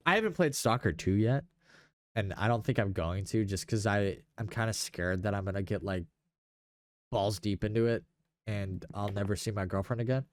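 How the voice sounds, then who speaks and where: A man's voice: fairly low pitch, moderate pace, no room sound, studio quality. A young man in casual gaming chat, speaking in a slangy, enthusiastic way. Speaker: podcast host.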